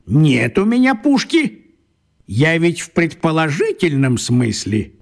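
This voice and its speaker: A sly, husky little old wizard. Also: male voice, fairly high pitch, wildly swooping pitch, slow pace, heavily acted. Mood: crafty, folksy. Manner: coaxing, crafty, folksy. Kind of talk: children's radio play acting.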